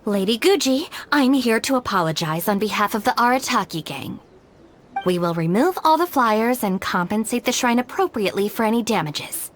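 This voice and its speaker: A woman's voice: on the high side, lively intonation, moderate pace, natural voice. A woman playing a blunt, exasperated, no-nonsense young woman in a video game, with a dry way of talking. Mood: formal, firm. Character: young woman gang deputy, no-nonsense, exasperated, reluctantly responsible. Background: music.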